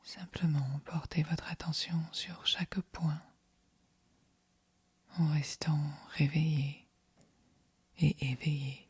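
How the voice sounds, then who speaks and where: Woman doing guided meditation, super soft whispery voice, really slow n calm, close dry sound. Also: sits low, husky, no echo. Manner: calm, soothing, measured delivery. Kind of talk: guided yoga nidra meditation.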